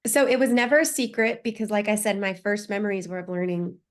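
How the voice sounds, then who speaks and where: A woman's voice: mid-range voice, fairly even intonation, fast talker. A woman in her thirties or forties, a podcast guest telling her life story openly and reflectively, with frequent restarts. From United States. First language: Spanish. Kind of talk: personal story in an interview.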